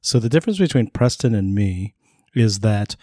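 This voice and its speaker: A man's voice: fairly low pitch, lively intonation, normal speed, darkish tone, no room sound, clean studio sound. A man in his thirties co-hosting a podcast in casual chat, talkative and reassuring, often laughing. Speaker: podcast co-host.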